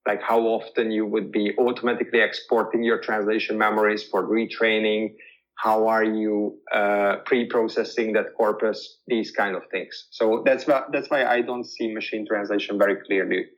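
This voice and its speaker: A man's voice: fairly low pitch, flat delivery, normal speed. A man whose first language is Hungarian, answering interview questions thoughtfully and repeating words as he searches for phrasing. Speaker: guest. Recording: dull lo-fi audio.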